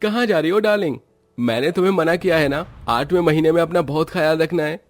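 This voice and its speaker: A man's voice: a little high, fast talker, pitched above the performer's own voice, close to an everyday voice. A man voicing a caring young husband in a cartoon story, tender and protective in manner. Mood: affectionate. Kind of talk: acted Hindi cartoon story dialogue.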